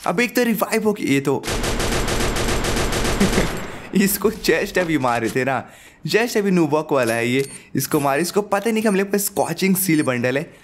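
A young man with a sore throat giving excited live commentary over his own mobile battle royale match, hyping up his kills and laughing often, speaking into a headset at home. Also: fairly high pitch, normal speed, background noise. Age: young adult. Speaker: gaming YouTuber. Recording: game gunfire behind.

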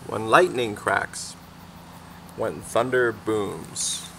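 A young man reading a children's picture book aloud as a teacher, expressively and deliberately, pausing between phrases, recorded outdoors a little way from the camera. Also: fairly low pitch, gravelly. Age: young adult. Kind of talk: children's story read-aloud. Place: outdoors in a fenced backyard garden. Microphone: camera a few feet away. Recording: faint steady outdoor background noise.

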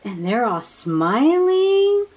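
A woman reads a picture book aloud to young children at a very unhurried pace. Her voice swings expressively up and down as she exclaims and coaxes little listeners with simple questions. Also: fairly high pitch, very animated, a little mellow.

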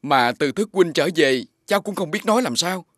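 An actor playing an earnest, devoted, respectful young man in an animated fairy tale. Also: a little high, normal speed, no echo, clean studio sound. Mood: pleading.

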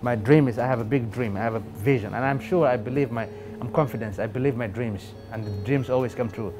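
A man's voice: medium pitch, quick pace, over music. A man who owns a restaurant, talking emphatically and enthusiastically. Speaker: restaurant owner, interviewee.

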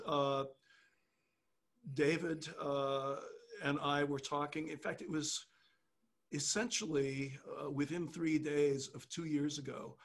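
Older male pianist, gravelly and husky, measured storyteller, headset audio. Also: medium pitch. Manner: measured, many fillers. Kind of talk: storytelling in a video call. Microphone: headset on a video call.

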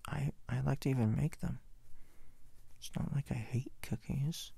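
A man playing a sleepy, needy, affectionate young boyfriend in an ASMR roleplay, rambling in a breathy, husky, half-whispered murmur. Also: mid-range voice, measured pace, dark tone, full character voice. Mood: drowsy, playful.

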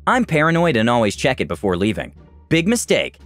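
A man reads online stories aloud in a scripted narration, his pitch climbing and dropping a great deal as he moves through them. The voice is close and dry with no room sound. Faint music plays behind him. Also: brisk, no room sound. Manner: lively storytelling with shifting voices for quoted people.

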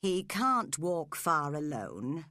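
A woman's voice: low voice, lively intonation, slow pace, pushed and bright, dry recording, studio quality, pitched below the performer's own voice, exaggerated voice. A woman voicing a cold, scheming, cruel father in a dramatised audiobook. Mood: commanding.

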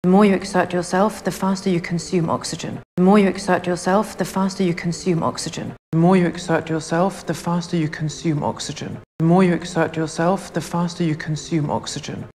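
An actress speaking explanatory lines in a film scene. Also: female voice, on the low side, fairly even intonation, fairly quick, darkish tone, in a large hall, lightly acted. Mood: explaining. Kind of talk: film dialogue.

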